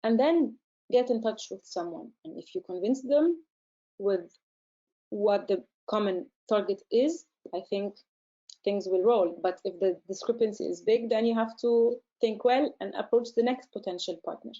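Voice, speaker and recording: A woman, a research centre director answering questions in a webinar, measured and explanatory, often pausing to find her words. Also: medium pitch, dark tone, dull audio. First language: Arabic. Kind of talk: webinar Q&A, advice to listeners.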